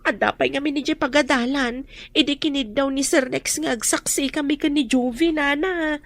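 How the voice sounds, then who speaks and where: A woman's voice: high voice, normal speed, a bit bright, no echo. A woman with a Filipino accent playing an earnest young daughter in a radio drama. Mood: hesitant.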